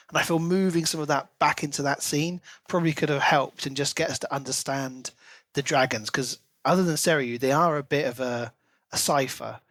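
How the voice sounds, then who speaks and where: Slightly higher-voiced man, casual podcast chat. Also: a bit bright, no room sound. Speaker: podcast co-host. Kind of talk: casual book discussion.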